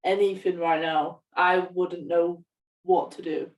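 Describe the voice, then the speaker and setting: A woman's voice: fairly low pitch, level tone, slow pace. A woman co-hosting a podcast in casual chat, speaking in a hesitant, self-deprecating way. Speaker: podcast co-host. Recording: voice distant from the mic.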